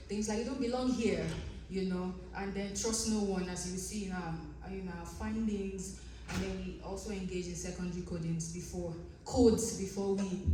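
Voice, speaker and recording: A woman's voice: mid-range voice, a little mellow, slight background noise. A woman with a Nigerian accent, originally a Yoruba speaker, giving an earnest academic conference presentation with frequent ums and restarts. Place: conference room. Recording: echoey room, distant mic.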